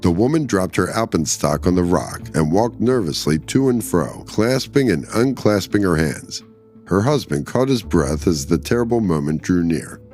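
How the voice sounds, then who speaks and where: Male, deep pitch, story podcast host, narrator and commentator. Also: expressive, normal speed, a little mellow, soft background music.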